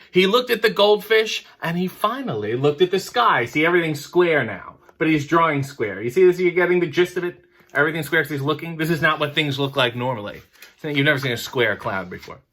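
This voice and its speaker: A man's voice: a little high, animated, fairly quick, a bit bright. A man hosting a picture book reading, breaking off into wry, mock-critical commentary. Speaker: storyteller host.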